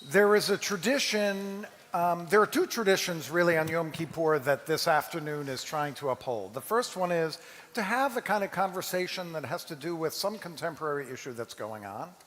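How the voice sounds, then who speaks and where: Male rabbi, slightly high voice, halting conversational introduction to a congregation, long hall reverb. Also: lively intonation, a bit bright. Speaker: rabbi introducing a dramatic reading. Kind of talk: informal spoken introduction to a congregation. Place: large reverberant hall, amplified to an audience.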